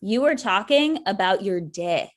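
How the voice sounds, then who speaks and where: A woman acts out a sardonic ex-girlfriend in her thirties. She is wounded and pointed, with a dry delivery at medium pitch. It sounds like natural dialogue from a comedy script. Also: animated, a little slow, lightly acted. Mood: accusing. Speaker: actor in a table read.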